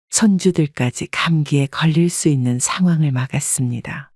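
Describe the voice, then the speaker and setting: A woman calmly narrating a first-person life story in a measured, scripted way. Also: sits low, dry recording, clean studio sound.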